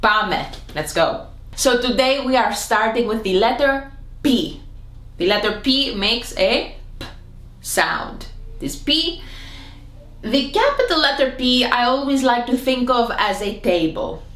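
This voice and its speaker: A woman gives a comedic language lesson at an unhurried, deliberate pace. She is playful and theatrical, with sudden jumps in pitch, and her voice echoes around a roomy space. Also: lively intonation, a bit bright. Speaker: Greek language teacher. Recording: echoey room.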